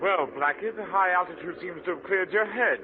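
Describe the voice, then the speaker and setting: An actor playing a young murderer pilot in a theatrical 1940s radio crime drama style, on a tinny, noisy old recording. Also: male voice, high-pitched, a little fast. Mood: gloating. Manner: taunting, theatrical. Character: gloating young male murderer pilot, turns panicky.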